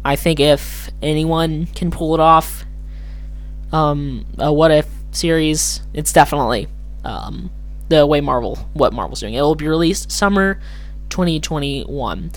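A podcast host with a slightly hoarse voice, talking casually and alone in a rambling, hesitant way that keeps correcting itself. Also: mid-range voice, a little slow. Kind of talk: casual solo commentary.

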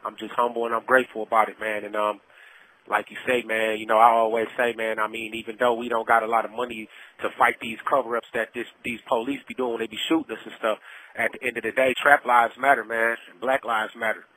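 A man's voice: mid-range voice, flat delivery, quick pace, pushed and bright. A man giving heartfelt, faith-filled personal testimony in casual vernacular over a prison phone call, repeating himself for emphasis. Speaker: incarcerated storyteller. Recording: muffled, band-limited prison phone call.

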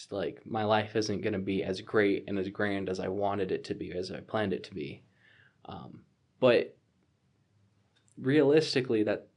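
A man in his twenties talking casually about his plans, rambling with many fillers and restarts. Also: fairly low pitch. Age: 20s. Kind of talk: casual conversation.

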